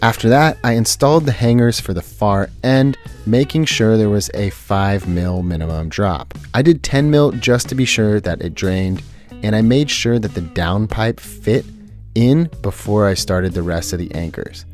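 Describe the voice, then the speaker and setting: A man's voice: lowish voice, normal speed. Guy explaining his diy house project step by step, chill vlog tone, light music underneath. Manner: explains each step plainly and calmly. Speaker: host of a home renovation channel. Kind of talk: casual DIY vlog narration.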